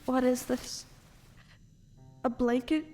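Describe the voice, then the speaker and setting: A woman playing a frightened but defiant noblewoman in an audio drama, with a halting, stammering, anguished delivery. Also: high-pitched, steady tone, dark tone, soft background music. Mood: bewildered. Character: distraught revived noblewoman, mother, frightened and defiant.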